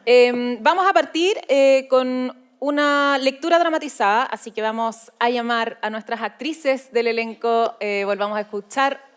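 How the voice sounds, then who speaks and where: A woman's voice: fairly high pitch, unhurried, clean tone. A Chilean woman presenting informally as co-host of a live event. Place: live event before a seated audience.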